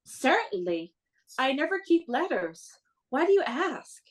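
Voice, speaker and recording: A woman's voice: high-pitched, very animated, measured pace, low-quality recording. A woman playing a self-possessed, polite and guarded young lady in a radio play. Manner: polite, composed, direct.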